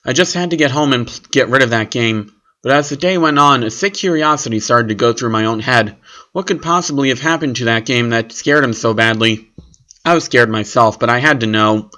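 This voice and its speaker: A man's voice: medium pitch, brisk, sharp tone. A man reading a scripted first-person horror story as its narrator. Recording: clearly noisy recording with clicks.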